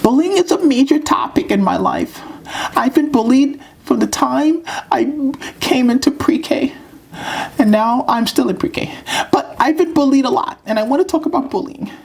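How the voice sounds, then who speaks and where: A woman's voice: sits high, animated, moderate pace, creaky, a little mellow, husky, heavily acted. A woman voicing an earnest, dramatic little pre-K girl puppet in a children's puppet show, with emphatic, repetitive delivery.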